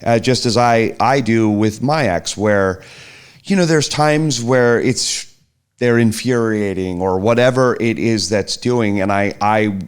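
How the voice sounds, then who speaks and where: A man's voice: on the low side, a little slow, clear, resonant tone. A middle-aged man in a casual podcast chat, speaking hesitantly with frequent restarts. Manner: hesitant, restarts and trails off, soft-spoken reflection. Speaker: podcast guest, host's partner.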